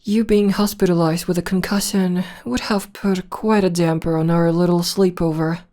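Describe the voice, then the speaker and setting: Woman performing a theatrical female supervillain, lowish pitch, mellow, coaxing, playfully grand, tender ASMR roleplay. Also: fairly even intonation, natural voice. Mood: earnest, playful. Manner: arch, unhurried, coaxing, mock-grand. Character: teasing, theatrical female supervillain nursing her nemesis tenderly.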